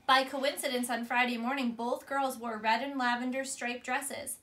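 A woman in her thirties reading a storybook aloud to a child in an expressive, teacherly way. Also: high voice, moderate pace. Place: small bedroom, on a bed. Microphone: camera a few feet away.

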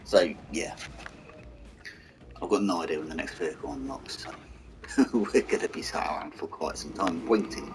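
A man with a hoarse, croaky voice, mumbling casual commentary over a strategy game and talking to its vehicles. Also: deep voice, moderate pace, pauses often, noisy background. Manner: mumbling, talks to the game's vehicles. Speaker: gaming channel host. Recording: game music behind voice.

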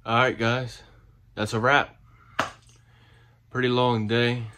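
A man's voice: mid-range voice, level tone, slow, pushed and bright, slight background noise. A man in his twenties talking casually and plainly to camera as he shares updates on a car build in a garage. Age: 20s. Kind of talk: casual vlog narration. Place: home garage workshop, indoors. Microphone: handheld phone at arm's length.

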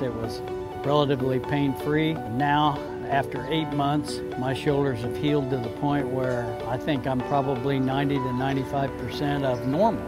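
An older man giving a plain, earnest testimonial outdoors on a lapel mic. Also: mid-range voice. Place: outdoors by trees and shrubs. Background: background music.

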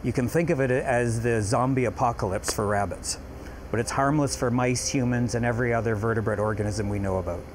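A man's voice: mid-range voice, noisy background. An older man, a researcher, explaining his work earnestly in a documentary interview in a lab. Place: lab, indoors.

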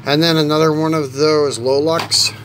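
A man's voice: a little high, flat delivery, moderate pace, a bit bright, soft background music, low-quality recording. A middle-aged American man giving casual, informal unboxing commentary with rambling asides. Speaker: toy car collector hosting an unboxing. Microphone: handheld camera or phone mic. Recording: home camera with clear background noise.

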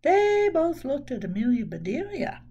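Casting: woman in her 60s, children's storyteller, high swooping voice, emphatic delivery. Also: highs cut off. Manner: expressive, deliberate, emphatic storytelling. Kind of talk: reading a children's book aloud. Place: small room at home.

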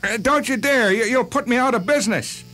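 A man's voice: very high voice, a little fast, bright, forceful tone, soft background music, full character voice. A man playing a cranky middle-aged store owner who grumbles and complains irritably in a radio-style story. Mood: irritable complaining. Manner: irritable, grumbling, hesitant starts.

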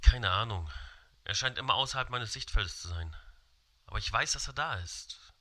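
Casting: male actor for pleading, frightened heavyweight in horror radio play, sharp mid-pitched voice. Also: expressive, moderate pace. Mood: anxious pleading. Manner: tense, pleading. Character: huge pale man, hunted, anxious and pleading. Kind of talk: audio drama acting.

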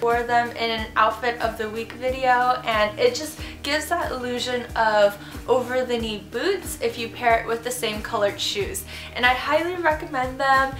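A woman's voice: fairly high pitch, bright, forceful tone. A young woman in her twenties with a cold and a congested voice, chatting bubbly and enthusiastic in a casual fashion haul vlog from her bedroom. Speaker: fashion vlogger. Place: bedroom, indoors. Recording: roomy echo with background music.

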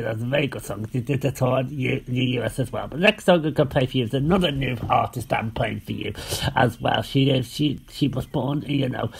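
A man with a very husky, creaky voice presents songs as an amateur DJ at home. His pitch sits in the middle for a man. He rambles haltingly and circles back over his own words. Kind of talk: informal radio-style DJ links. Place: indoors at home.

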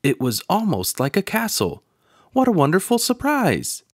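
Very animated man reading a children's storybook. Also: sits high, normal speed, dry recording, clean studio sound. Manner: expressive storytelling. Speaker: narrator. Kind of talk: children's storybook read-aloud.

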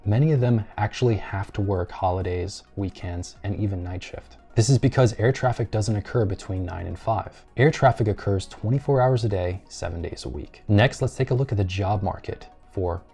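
A man in his thirties with a lowish, dark and relaxed voice delivers a scripted explainer as a channel host. His delivery is measured and structured, with some music playing behind him. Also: breathy edge. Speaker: host of a careers video channel. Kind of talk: scripted explainer narration to camera. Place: small furnished room at home, seated at a table.

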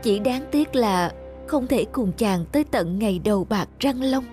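A woman with a Southern Vietnamese accent voicing a gentle, wistful young wife in a cartoon folk tale. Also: fairly high pitch, expressive, moderate pace, close to an everyday voice. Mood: sad, devoted. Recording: music behind the voice.

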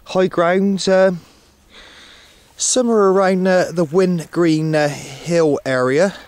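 A man narrating a casual outdoor vlog in a hesitant, matter-of-fact way, often pausing as he goes. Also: high voice, fairly even intonation, a little mellow, no room sound. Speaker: radio hobbyist filming himself. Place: outdoors on an open hilltop. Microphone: handheld camcorder, operator behind camera.

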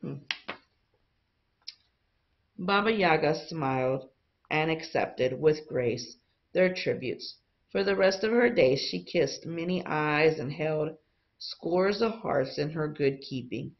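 Slow-paced woman reading aloud, muffled recording. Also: deep voice, animated. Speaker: teacher reading a picture book aloud. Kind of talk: read-aloud storytelling for young students.

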